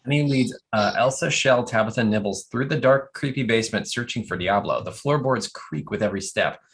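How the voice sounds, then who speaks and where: A man's voice: mid-range voice, brisk, fairly bright tone. A man narrating stage directions at a comedy table read, matter-of-fact and descriptive.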